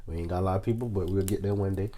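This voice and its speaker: A man's voice: low-pitched, fairly even intonation, racing, a loud crowd. A young man with a Southern US accent, sick with a sore throat, chatting casually and rambling as a podcast co-host. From South Carolina, United States. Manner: rambling, joking, colloquial. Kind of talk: casual chat.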